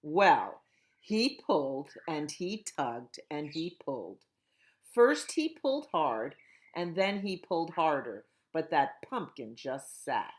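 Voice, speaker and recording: A woman children's librarian reading a picture book aloud at storytime, slow and expressive. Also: sits low.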